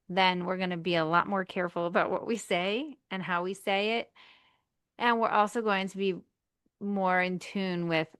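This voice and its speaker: A woman's voice: medium pitch, a bit bright, smooth, dry recording, clean studio sound. A woman hosting a podcast as a coach, speaking reflectively and with emphasis. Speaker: podcast host, marriage coach. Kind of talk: casual conversation.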